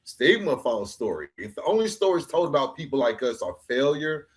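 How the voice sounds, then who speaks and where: A man's voice: mid-range voice, big pitch swings, a little fast. A middle-aged man from Atlanta hosting a casual interview, talking informally and peppering his speech with "bro". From Atlanta, United States. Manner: informal, peppers talk with "bro" and "you know what I'm saying". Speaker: podcast host.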